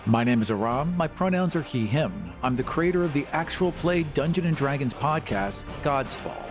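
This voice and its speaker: A man's voice: a little high, expressive, normal speed, faint music behind. A man co-hosting a podcast, introducing the show and chatting casually. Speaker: podcast co-host.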